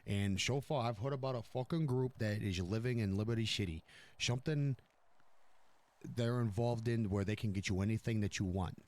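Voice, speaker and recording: A blunt mob boss from a crime roleplay game as acted by a man. His voice sits a little low. He swears freely and doubles back on words as he lays down orders. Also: normal speed, a little mellow, no echo, lightly acted. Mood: conspiratorial. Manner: repeats and stammers words, swears heavily. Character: blunt, foul-mouthed male mafia boss running his crew. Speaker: roleplay streamer.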